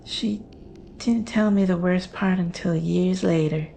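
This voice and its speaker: A woman playing a haunted, fearful witness, telling a horror story in a hushed, halting, deliberate way. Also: on the low side, vocal fry, a little hiss or hum. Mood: haunted, uneasy. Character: haunted, fearful woman recounting her mother's terrifying secret. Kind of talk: slow dramatic horror storytelling.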